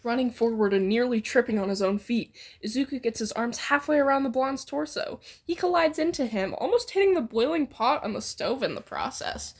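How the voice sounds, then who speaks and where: A woman's voice: a little high, animated, fairly quick, hardly any pauses. A woman casually reading a fan fiction story aloud as narrator.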